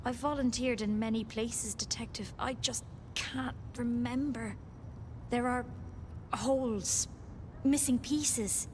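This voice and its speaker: A gentle video game healer who is shaken and forgetful. Her fairly high voice is halting and wistful, with a faint rumble behind it. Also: fairly even intonation. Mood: confused.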